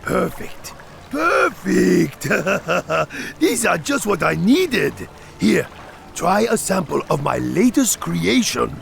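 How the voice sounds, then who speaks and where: A man's voice: high-pitched, very animated, gravelly, a bit bright, slight background noise, full character voice. A man voicing a jolly, humble wandering brewmaster creature in a video game, genial and ale-loving. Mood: pleased. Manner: unhurried, genial, chuckling storyteller. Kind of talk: video game character acting.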